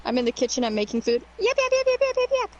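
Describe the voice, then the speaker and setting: A young woman with a tiny, cute high voice, chatting casually and giggling as she mocks herself and swears now and then. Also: big pitch swings, rapid-fire, highs cut off, slight background noise. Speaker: co-host chatting during a gameplay stream. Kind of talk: casual chat.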